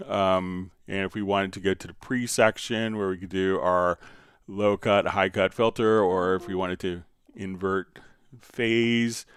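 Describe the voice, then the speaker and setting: A man with a low, smooth voice hosts a casual live tutorial. He explains things conversationally, like an instructor chatting with viewers. The sound is dry and close. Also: dry recording. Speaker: live stream host and software instructor.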